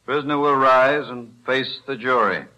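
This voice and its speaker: An actor playing a stern judge, formal and commanding, in 1940s radio-drama style. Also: male voice, mid-range voice, moderate pace, noisy background, close to an everyday voice. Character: stern male courtroom judge.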